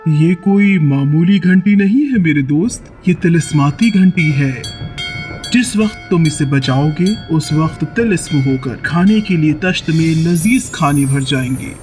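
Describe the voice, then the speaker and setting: Man as a kindly old tree spirit, highish voice. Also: expressive, a little mellow, soft background music, close to an everyday voice. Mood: solemn, generous. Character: kindly aged tree spirit, grateful and wise.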